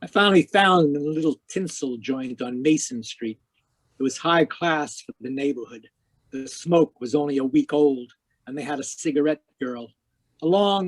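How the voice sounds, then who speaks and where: A man playing a hard-boiled, wisecracking private investigator in a 1940s-style radio noir drama, deadpan, clipped and sardonic. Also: a little high, dry recording, lightly acted. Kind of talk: 1940s radio noir acting with first-person narration. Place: live remote video-call performance.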